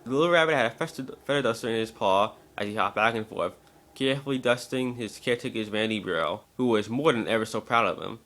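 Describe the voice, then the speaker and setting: A man's voice: medium pitch, quick pace, a bit bright. A man narrating a scripted story as an amateur dramatic reading, now and then stumbling over words.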